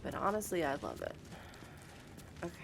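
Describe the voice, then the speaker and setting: A woman's voice: on the low side, wildly swooping pitch, normal speed, creaky, noisy background. A woman giving casual, chatty live game commentary, talking informally to viewers and to the characters. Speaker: live streamer.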